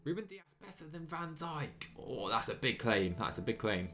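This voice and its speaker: Bright-voiced young man streaming a game. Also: medium pitch, moderate pace, soft background music. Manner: excitable, chatty, laughs often. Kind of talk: live gameplay commentary and chat reading. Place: small room indoors. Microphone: headset.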